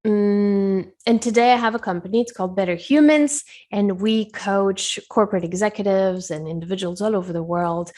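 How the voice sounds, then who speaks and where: A woman whose English carries a blend of Spanish and French accents. She speaks as a guest in a conversational interview at a medium pitch. Her manner is reflective and explanatory, and she pauses to find her words. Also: darkish tone. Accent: foreign, Spanish and French influenced. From Colombia and Switzerland. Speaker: guest, wellness coach.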